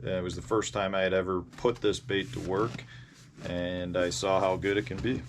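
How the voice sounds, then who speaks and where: A man with an American accent and a fairly low voice talks casually to his viewers as a vlog host. He rambles a little and pauses as he goes, unscripted. Clear ambient noise sits behind his voice. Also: level tone. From Indiana, United States. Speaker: host of a fishing channel. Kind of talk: casual vlog storytelling about fishing gear.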